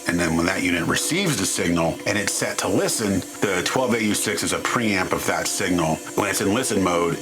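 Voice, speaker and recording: A man narrating a hands-on electronics teardown in an informal, wry, self-deprecating and mildly crude way. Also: low voice. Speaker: host of an electronics teardown channel. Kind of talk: casual hands-on tutorial narration.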